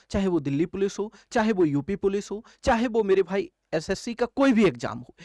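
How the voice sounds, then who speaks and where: Man, maths coaching teacher, step-by-step lecture, rhetorical questions, repeated key phrases, dry sound with little echo. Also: high-pitched. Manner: addresses learners familiarly, repeats key phrases, asks and answers own questions. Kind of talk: classroom lecture, step-by-step explaining.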